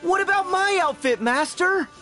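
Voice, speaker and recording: A man's voice: very high voice, lively intonation, normal speed, over music. An actor playing an eager, cheerful teenage boy hero in a video game. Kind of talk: video game cutscene voice acting.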